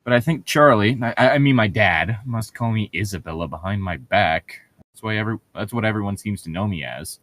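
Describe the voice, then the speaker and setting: A man's voice: lowish voice, animated, fairly quick, a bit bright. A man co-hosting a comedic read-along, reading a book aloud with casual, irreverent, sweary banter.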